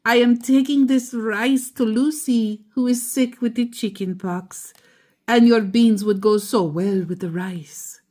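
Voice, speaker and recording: A courteous grandmother from a children's storybook, acted by a woman reader. She speaks slowly and politely in a friendly way, a touch higher than plain narration. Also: steady tone, mellow, lightly acted. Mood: polite, eager. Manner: courteous, storybook character delivery. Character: polite, friendly grandmother asking neighbours for trades.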